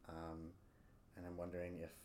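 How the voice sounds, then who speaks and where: A man's voice: sits low, completely flat delivery, normal speed, darkish tone. A man hosting a conversational interview podcast, feeling his way through his questions as he asks them. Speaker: podcast host interviewing a guest. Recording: roomy echo, background room noise.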